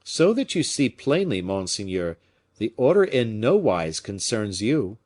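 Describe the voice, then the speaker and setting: Musketeer captain for a storybook, male narrator acting: polite, shrewd, measured delivery with animated, knowing emphasis. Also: lowish voice. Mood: earnest, hinting. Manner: measured, pointed, formally polite. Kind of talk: audiobook character acting.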